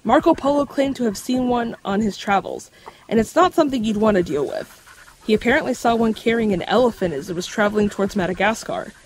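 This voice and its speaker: A woman playing a bookish, cautious young folklore expert in an audio drama, talking in a measured, explanatory way. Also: fairly high pitch, lively intonation, a little mellow, rough recording.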